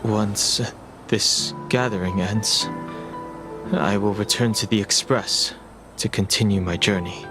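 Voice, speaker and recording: Low-voiced stoic young traveller. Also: male voice, fairly even intonation, slow. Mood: resolute. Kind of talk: dramatic video game voice acting. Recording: background music over game dialogue.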